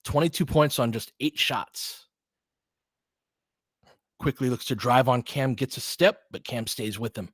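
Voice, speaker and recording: A man's voice: mid-range voice, a little slow, long pauses, slightly rough, no echo. Man calling basketball, dry close sound. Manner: partisan fan, casual slangy exclamations. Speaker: fan play-by-play commentator and host. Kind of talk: live basketball play-by-play commentary with analysis.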